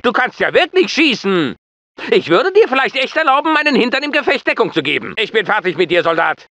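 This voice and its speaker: Military drill sergeant for a video game, bossy and insulting, super high voice, bright and forceful. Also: male voice, very animated, fairly quick, no echo, heavily acted. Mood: instructive, firm. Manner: commanding, clipped, insulting. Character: hard-barking, insulting military drill sergeant, adult man. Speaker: drill instructor. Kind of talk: video game voice acting, German dub.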